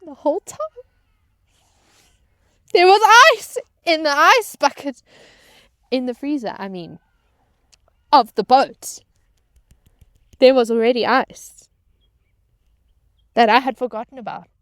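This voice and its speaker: A woman's voice: high voice, expressive, slow pace, long pauses, dry recording, clean studio sound. A woman telling a casual comedic personal story to viewers in a dramatic, self-mocking way, repeating phrases for emphasis. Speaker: storytelling vlogger and former yacht crew member.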